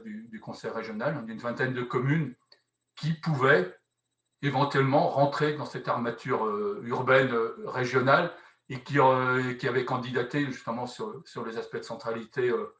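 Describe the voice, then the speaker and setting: A man giving a semi-improvised explanatory presentation at a conference, halting and often rephrasing, with frequent little tag words. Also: mid-range voice, fairly even intonation, fairly bright tone. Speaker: conference speaker presenting a housing policy experiment. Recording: echoey room sound.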